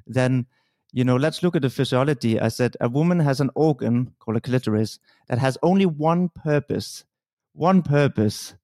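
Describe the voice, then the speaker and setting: A male host speaks in a reflective, conversational way, recounting personal stories with quoted dialogue. His voice sits at a middle pitch and is recorded dry and close, with no room echo. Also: a little mellow. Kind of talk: conversational interview.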